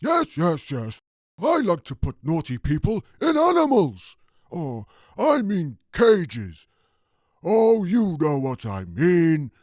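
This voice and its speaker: Comedy advert role: sinister, bumbling gaoler, male actor, high and very slow delivery, dry sound. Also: wildly swooping pitch, darkish tone, no room sound, full character voice. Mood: gleefully sinister. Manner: muddled, hesitant, self-correcting. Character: creepy, muddled jailer who relishes caging people. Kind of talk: comedy radio advert sketch.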